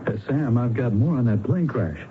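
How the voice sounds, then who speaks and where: A man's voice: medium pitch, lively intonation, normal speed, lightly acted. Man acting a folksy police sidekick, tinny radio. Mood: informative, puzzled. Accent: American. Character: folksy, eager male police sidekick, deferential to boss. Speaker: actor in a radio drama. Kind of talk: old-time radio drama acting. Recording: tinny, very noisy old radio recording.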